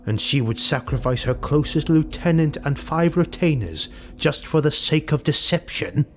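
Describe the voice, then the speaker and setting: A man voicing a proud, suspicious highborn lord in an audiobook, curt and commanding. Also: expressive, moderate pace, a little hiss or hum, lightly acted.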